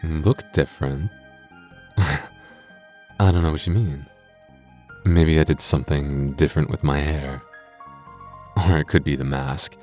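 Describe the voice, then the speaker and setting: A man's voice: a bass voice, fairly even intonation, measured pace, pauses often, a little mellow, faint music behind. A man playing a coy, flirtatious masked host who addresses the listener in playful ASMR roleplay.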